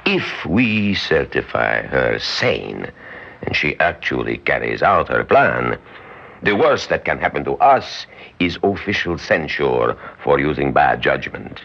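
An actor in an old-time radio drama playing a calculating male conspirator who speaks in a measured, formal, deliberate way. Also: very deep voice, sing-song, creaky, a bit bright, heavily acted. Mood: coolly conspiratorial. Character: smooth-talking, calculating male conspirator, secretly an examining official. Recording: dull, muffled old radio recording.

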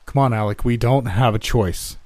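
A man voicing a gruff, defiant male companion in hiding in a horror story. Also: medium pitch, moderate pace, a little mellow, dry recording, voice pushed up, natural voice. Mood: pleading.

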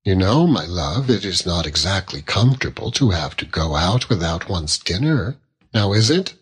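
A man's voice: sits low, big pitch swings, moderate pace, breathy edge, heavily acted. Man acting an earnest young Victorian husband, swooping intonation. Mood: earnest, reproving. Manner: reasoning, gently reproving.

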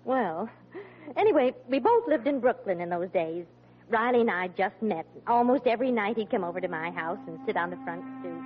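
An actress playing a patient, affectionate wife who gently teases and chides, in 1940s radio comedy style. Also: female voice, medium pitch, animated, brisk, soft background music, lightly acted. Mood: wry. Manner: teasing, chiding. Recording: dull old radio, loud studio audience.

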